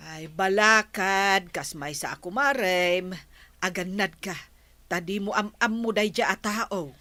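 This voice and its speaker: A woman's voice: medium pitch, unhurried, sharp tone, dry recording. An actress playing a curious, meddling married village woman in a radio comedy drama. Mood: concerned.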